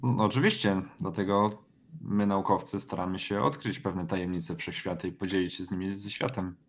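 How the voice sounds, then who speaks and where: Low-voiced man role-playing over background noise. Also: fairly even intonation, normal speed. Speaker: tabletop game player. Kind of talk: in-character improvised tabletop role-play.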